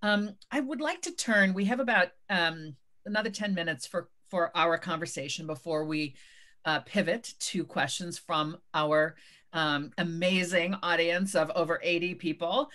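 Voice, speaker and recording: A woman moderating a book conversation, measured and thoughtful with frequent hesitations. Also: on the low side, a bit bright. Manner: measured, many um and uh fillers. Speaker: interviewer and moderator.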